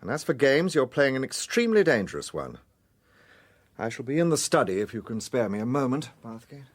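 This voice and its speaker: Gentleman British detective for radio drama; courteous, shrewd, dryly playful, slightly husky and expressive. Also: medium pitch, no echo. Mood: firm. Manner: measured, polite, dryly authoritative.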